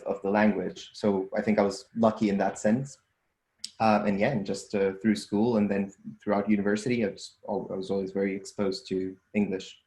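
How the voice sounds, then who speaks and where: A young man with a Portuguese accent and a fairly low voice talks through his answers at an easy pace, pausing on ums and restarting phrases as he thinks. His voice carries a noticeable room echo. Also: a little mellow. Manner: thoughtful, with frequent ums and restarts. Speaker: guest, data scientist and entrepreneur. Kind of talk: casual interview answers.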